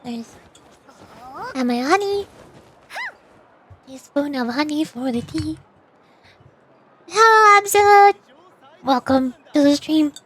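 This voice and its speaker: A woman's voice: high voice, lively intonation, takes it slowly, pauses often, a bit bright, slight background noise. A woman livestreaming a video game, chatting casually in a playful, rambling way and muttering little asides to herself. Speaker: livestream host, VTuber playing a video game. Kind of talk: casual gaming stream chat. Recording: music behind the voice.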